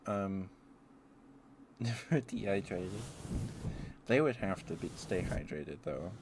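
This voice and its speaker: A man with a fairly low voice talks in a casual ASMR ramble. He thinks out loud, hesitating and leaving thoughts half finished. There is some audible background noise in the recording. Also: expressive, slow pace, creaky. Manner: hesitant, musing aloud with trailing thoughts. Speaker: streamer.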